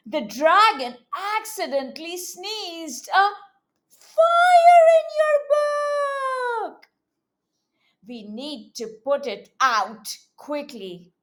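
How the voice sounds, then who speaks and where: A woman reading a storybook aloud to young children as a teacher, animated, with exclamations, coaxing questions and repeated words. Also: very high voice.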